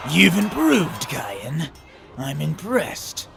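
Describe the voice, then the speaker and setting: A man playing a confident, combative ice warrior in an animated fantasy battle, speaking in a bold, challenging way. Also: a little high, very slow, gravelly, full character voice. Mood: fierce challenge.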